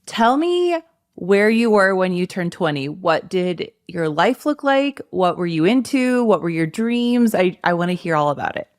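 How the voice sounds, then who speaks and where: Podcast host, woman, thirties, low-pitched and encouraging, dry close mic. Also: big pitch swings, unhurried, no room sound. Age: 30s. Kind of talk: casual chat.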